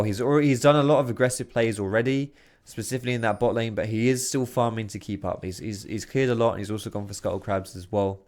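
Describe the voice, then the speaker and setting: A man's voice, a little hoarse and worn from talking, narrates game footage in a quick, excitable play-by-play. He sits at a home desk mic, reacting to each big moment with loose, slangy enthusiasm. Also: lowish voice. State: hoarse, losing his voice. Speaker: video host. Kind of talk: gameplay commentary.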